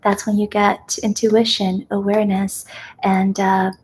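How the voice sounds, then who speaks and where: A young woman born in China, whose first language is Kazakh, hosting a live ASMR question-and-answer chat in a gentle, soft-spoken way that slips into whispers. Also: medium pitch, flat delivery, measured pace, slightly rough, rough recording. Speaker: ASMR host.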